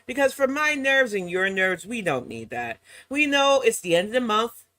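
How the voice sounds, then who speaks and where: Sassy slightly hoarse woman streamer. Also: fairly high pitch, big pitch swings, normal speed, a bit bright, no echo. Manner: sassy, mocking asides. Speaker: reaction channel host. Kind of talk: casual live-stream chat.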